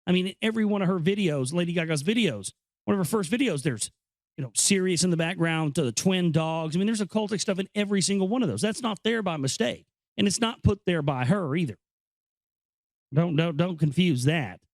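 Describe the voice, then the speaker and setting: Higher-voiced man reading and commenting, dry sound. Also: no room sound. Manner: conversational, halting, explanatory. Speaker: host reading a book aloud with commentary. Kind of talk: informal reading with off-the-cuff commentary.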